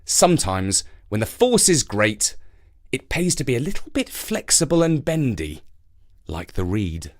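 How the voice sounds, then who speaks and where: A man works as a storyteller, presenting and reading verse from a script. His delivery is slow, measured and dramatic, with an animated, widely moving pitch.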